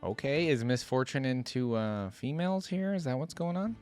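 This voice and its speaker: A man's voice: mid-range voice, expressive, moderate pace, a little mellow, faint music behind. A man hosting a reaction video, giving casual, curious commentary and musing aloud.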